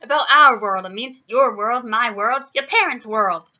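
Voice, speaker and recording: A woman voicing a huge, gruff but kindly male giant gamekeeper with an Irish accent and a booming, hot-tempered delivery in a storybook reading. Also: lively intonation, brisk, sharp tone, highs cut off. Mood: outraged. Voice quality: booming, growling.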